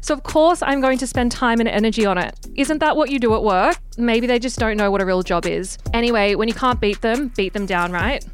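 A woman's voice: on the high side, a little fast, few pauses. A young woman hosting a gossip podcast, sarcastic and irreverent, swearing freely as she mocks reality TV. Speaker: podcast host. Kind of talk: casual gossip podcast monologue.

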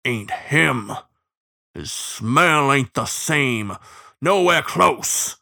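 A relentless old-fashioned bloodhound lawman from a storybook, acted out by a man. The voice is sepulchral and baying, drawling each word slowly and deliberately, with grim menace and a forceful edge. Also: medium pitch, animated, gravelly, pitched above the performer's own voice, full character voice. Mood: stubborn, grave.

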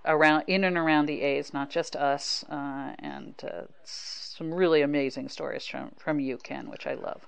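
A woman's voice: deep voice, vocal fry, a bit bright, clear, resonant tone, no room sound. A woman with an American accent, hosting a sports podcast and talking casually as a reporter. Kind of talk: casual conversational sports talk.

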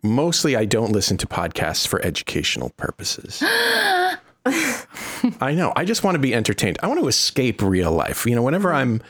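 Playful man hosting a podcast, lively voice. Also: medium pitch, moderate pace. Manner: playful, with mock-dramatic flourishes. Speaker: podcast host. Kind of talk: casual podcast chat.

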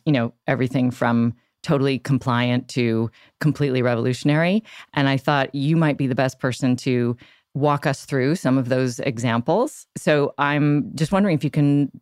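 A woman's voice: very deep voice, a little mellow, dry recording, studio quality. A woman hosting and interviewing on a podcast in a conversational style.

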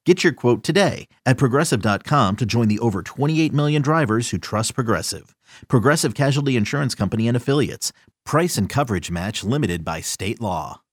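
A man delivers a scripted radio commercial in an announcer's style. His voice sits at a medium pitch and the sound is dry, free of room echo. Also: lively intonation, brisk, slightly rough.

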